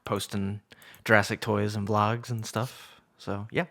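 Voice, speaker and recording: A man with a fairly low but very bright, forward voice. He speaks as a podcast co-host in an informal, conversational style. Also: little pitch movement, unhurried, gravelly. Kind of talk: casual podcast chat.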